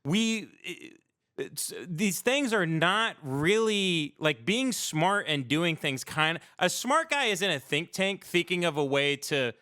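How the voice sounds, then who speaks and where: A man's voice: high-pitched, expressive, slow, sharp tone, smooth, no echo, clean studio sound. An American man on a casual livestream, talking in a rambling, opinionated and profane way with plenty of emphasis. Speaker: podcast host.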